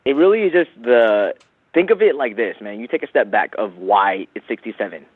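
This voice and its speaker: A man makes a casual sales pitch in slangy, persuasive language. His voice sits a little low. It comes through a thin, dry telephone connection with very limited bandwidth. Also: lively intonation, a little fast, husky, no echo. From San Francisco Bay Area, USA. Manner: slangy, persuasive, peppers talk with man, bro, dude. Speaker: phone sales representative for a self-help program. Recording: tinny narrowband phone line.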